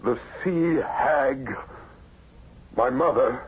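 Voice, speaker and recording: An actor playing a troubled, weary husband in an old-time radio drama, with a theatrical, intense delivery. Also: male voice, mid-range voice, drawn-out words. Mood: anguished. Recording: dull, noisy old radio recording.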